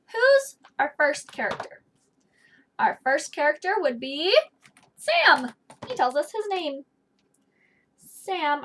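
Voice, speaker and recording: 音调很高、语速缓慢的女老师. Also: female voice, high voice, a bit bright, slight background noise. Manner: simple, explanatory phrasing for young children. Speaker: teacher reading aloud. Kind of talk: children's lesson with picture-book read-aloud. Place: small indoor office or study.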